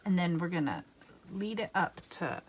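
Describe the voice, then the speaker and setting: A woman in her thirties talks through a drawing lesson one step at a time, patient and easygoing. Her pitch moves up and down a lot as she explains. A faint hum of room noise sits behind her. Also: animated, moderate pace. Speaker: art teacher. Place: home art studio.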